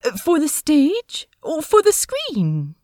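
A woman voicing a gentle, fussy, delighted man in love, with prim phrasing, in a fan fiction audio drama. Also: high-pitched, wildly swooping pitch, slow pace, dry recording, clean studio sound, exaggerated voice. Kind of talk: fan fiction podfic character acting.

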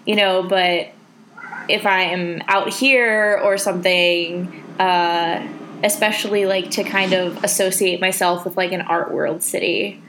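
A woman's voice: lowish voice, steady tone, unhurried, a bit bright, a little hiss or hum, poor audio. A woman from South Dakota co-hosting an arts podcast, chatting casually with lots of fillers and easy laughs. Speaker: podcast co-host. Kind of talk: casual chat.

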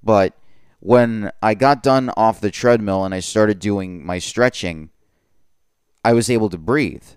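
A man's voice: a little slow, clear, resonant tone, no room sound, studio quality. A man hosting his own podcast, talking in a rambling, earnest way and correcting himself often. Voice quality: voice lower than usual today. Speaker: podcast host. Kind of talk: solo podcast monologue.

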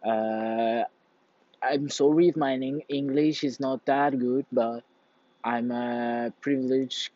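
Young man, Portuguese accent, slow halting speech, non-native English, muffled lo-fi recording. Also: mid-range voice, steady tone, darkish tone, no room sound. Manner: halting, searching for words, many restarts. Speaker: vlogger. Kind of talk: casual rambling monologue. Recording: dull lo-fi dry sound.

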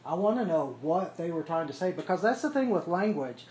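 A man's voice: high voice, big pitch swings, fairly quick, a little mellow. A man leading a small Bible study, explaining word-study tools step by step and checking in with the group as he goes. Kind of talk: casual teaching to a small group. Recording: echoey room, faint background noise.

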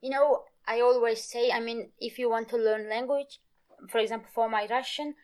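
A woman, a Kurmanji speaker from Turkey, talking as an interview guest in halting English, often pausing to search for words. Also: on the high side, steady tone, a little mellow. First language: Kurmanji Kurdish. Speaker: guest, Kurdish language teacher. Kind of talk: casual interview conversation.

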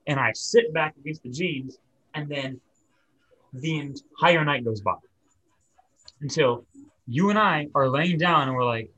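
A man's voice: a little high, measured pace, long pauses, bright, forceful tone, low-quality recording. A young man casually telling an anecdote to friends as a podcast co-host.

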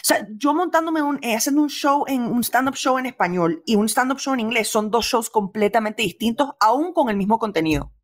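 Woman, Venezuelan accent, comedian, casual chat, lively, mixes in English, somewhat high voice. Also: fairly quick, few pauses. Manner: lively, mixes English phrases into Spanish, laughs often. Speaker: stand-up comedian, guest.

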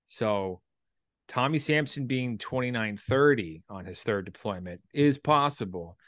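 Guy in his 30s chatting about writing, kinda halting and thoughtful, swears some, very dry sound. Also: mid-range voice, animated, no room sound. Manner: halting, reflective, salty language. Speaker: writer and performer, military veteran. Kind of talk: casual creative discussion.

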